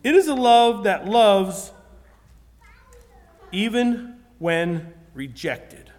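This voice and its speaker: A high-voiced man gives a sermon in an earnest, conversational way, often stopping to restart his sentences. His voice rings out with a long reverb behind it. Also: expressive, drawn-out words, darkish tone. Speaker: preacher.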